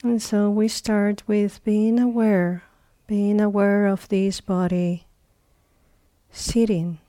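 A woman leads a guided meditation in a soft-edged, mellow voice. She speaks very slowly, leaving long pauses between short phrases. The sound is close and dry. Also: mid-range voice, fairly even intonation, dry recording. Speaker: meditation teacher.

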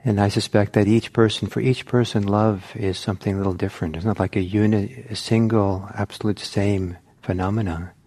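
A man teaching meditation in a gentle, reflective, halting way, leaving long pauses between short phrases. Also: on the low side, steady tone. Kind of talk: guided meditation talk.

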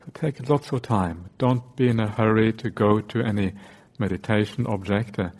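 A man teaching guided meditation in short, unhurried phrases, often broken by hesitant "uh"s. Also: on the low side, darkish tone.

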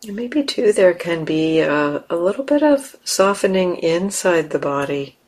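A woman leading a guided meditation as a teacher, speaking gently in short, unhurried phrases with long pauses between them. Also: fairly low pitch, expressive.

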